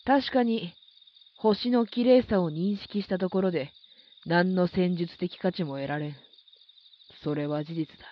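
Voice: medium pitch, measured pace, lo-fi sound, close to an everyday voice. A performer acting a stern yet reflective military instructor in a Japanese audio drama, commanding and formal.

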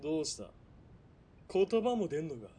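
Sneering sadistic military police villain. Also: male voice, a little high, big pitch swings, moderate pace, slight background noise. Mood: mocking, sadistic. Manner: sneering, mocking, swinging from quiet threats to barking. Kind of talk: Japanese radio drama acting.

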